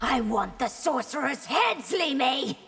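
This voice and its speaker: High, husky, imperious empress. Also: female voice, expressive, a little slow, fairly bright tone, exaggerated voice. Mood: angry, commanding. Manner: imperious, formal. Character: proud, commanding young fantasy empress. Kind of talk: video game dialogue acting. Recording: long hall-like reverb.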